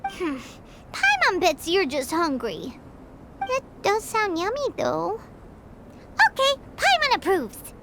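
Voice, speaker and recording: A woman's voice: huge pitch swings, slow, pauses often, very noisy, heavily acted. A woman voicing a tiny, chirpy female fairy companion who refers to herself in the third person, in a video game with music behind. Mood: playful. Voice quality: squeaky, talks about herself in third person.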